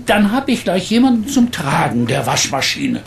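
An actor playing an easygoing, good-natured middle-aged uncle in a radio play. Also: male voice, fairly high pitch, very animated, moderate pace, gravelly, crowd noise. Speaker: uncle Titus.

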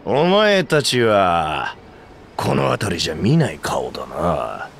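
A man's voice: sits low, wildly swooping pitch, slow pace, creaky, a bit bright, slight background noise, exaggerated voice. A man voicing an arrogant monstrous reptilian elder brother in a game, sneering with theatrical mock politeness. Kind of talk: video game cutscene acting.

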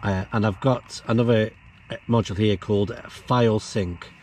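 A man's voice: lowish voice, steady tone, moderate pace, a little mellow, slight background noise. A middle-aged man explaining things casually in a vlog, recorded on a camera while camping. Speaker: vlogger demonstrating off-grid radio gear. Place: outdoors in a windy field and inside a canvas tent.

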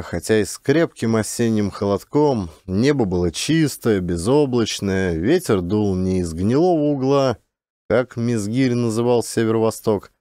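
A man's voice: medium pitch, warm tone, dry recording, studio quality. A man reading a scripted literary story as an audiobook narrator.

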